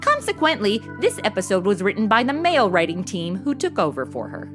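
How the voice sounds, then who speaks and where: A woman's voice: medium pitch, huge pitch swings, fairly quick, over music. A woman with an American accent hosting a podcast, reading a scripted outro in a chatty, direct way. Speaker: podcast host.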